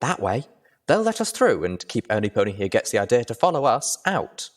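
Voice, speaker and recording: A man's voice: medium pitch, big pitch swings, fairly quick, voice pushed up, close to an everyday voice. A man voicing an earnest, anxious young female pony heroine in an audio story. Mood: urgent, hopeful.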